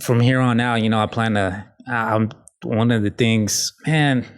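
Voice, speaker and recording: A man hosting a casual solo podcast, talking in a halting, rambling, informal way with a slight lisp. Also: medium pitch, fairly even intonation. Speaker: podcast host.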